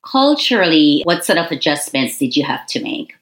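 A woman's voice: low voice, sing-song, moderate pace, a bit bright. A woman interviewer asking friendly, prompting questions about a guest's life. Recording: echoey room.

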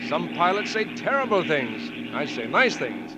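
An actor playing a cocky, flirtatious American pilot who trades teasing wisecracks in a 1940s radio drama. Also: male voice, on the high side, sing-song, normal speed. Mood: wry, cool. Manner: breezy, teasing banter. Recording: noisy old 1940s radio recording.

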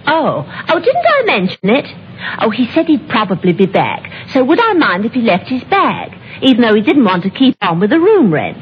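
An actress playing a talkative, deferential British lodging-house clerk in a radio drama, eager and gossipy, on an old recording. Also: female voice, on the high side, huge pitch swings, moderate pace, muffled recording, slight background noise. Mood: flustered. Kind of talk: 1950s radio drama acting.

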